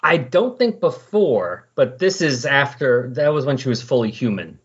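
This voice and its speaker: Guy cohosting a comics podcast, bit raspy, rambly chat, muffled lofi audio. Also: mid-range voice, big pitch swings, normal speed. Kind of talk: casual chat about comics.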